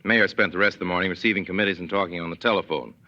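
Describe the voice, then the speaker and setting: A man narrating a scripted 1940s radio play with measured, wry authority. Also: low voice, steady tone, pushed and bright. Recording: dull 1940s radio recording.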